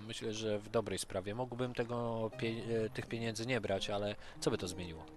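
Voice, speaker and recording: A man with a fairly low voice plays a tabletop role-playing game. He improvises hesitantly, thinking aloud about his character's moves. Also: fairly even intonation, moderate pace.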